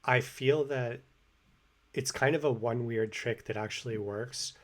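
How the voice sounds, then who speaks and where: A man's voice: mid-range voice, unhurried. An American man talking casually about language learning, rambling with lots of fillers and restarts. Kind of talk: casual explanatory monologue. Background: construction hammering and sawing overhead.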